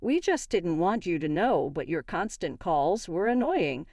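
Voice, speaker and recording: A woman's voice: on the low side, very animated, a little slow, darkish tone, no room sound, clean studio sound. A woman voicing a smug, condescending older mother-in-law in a narrated story, with a haughty, taunting manner. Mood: cold dismissive. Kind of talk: acted dialogue in a narrated story.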